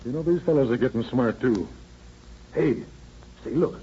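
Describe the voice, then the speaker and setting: An actor playing a businesslike American detective captain, clipped and slangy, in a 1930s radio crime drama. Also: male voice, mid-range voice, moderate pace, natural voice. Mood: brisk, keen. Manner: clipped, matter-of-fact, slangy. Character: brisk, businesslike, polite police detective captain. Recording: muffled, noisy vintage radio recording.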